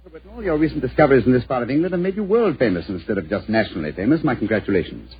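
An actor playing a proud, principled detective in a vintage radio drama, formal, precise and lofty in manner. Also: male voice, mid-range voice, big pitch swings, racing, lightly acted. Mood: wry, congenial. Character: brisk, proud, principled male consulting detective. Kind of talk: 1940s radio drama acting. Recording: dull old radio recording.

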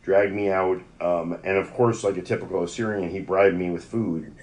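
A man's voice: low-pitched, steady tone, normal speed, darkish tone, poor audio. A middle-aged American man telling personal stories as an interview guest, in a rambling, conversational, anecdotal way. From United States (Yonkers, New York). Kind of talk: conversational oral-history storytelling.

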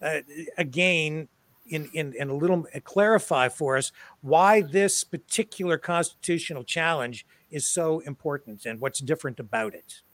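A man's voice: fairly high pitch, normal speed, a bit bright, dry recording. A man hosting an online interview, asking questions hesitantly with many "uh, you know" fillers. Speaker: host. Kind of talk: casual interview.

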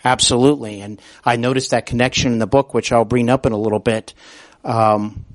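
Man, American accent, medium pitch, podcast host, conversational interviewing, halting attentive phrasing. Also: fairly even intonation.

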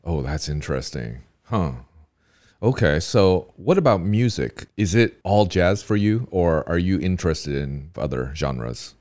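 A man's voice: sits low, expressive, measured pace, a little mellow. A man hosting a podcast, chatting casually and asking questions of his guest. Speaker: podcast host. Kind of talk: casual chat, interviewing.

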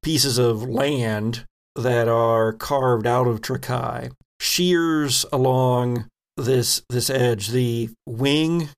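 A man narrating a tabletop game as its game master, telling the scene in a halting, descriptive way. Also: mid-range voice.

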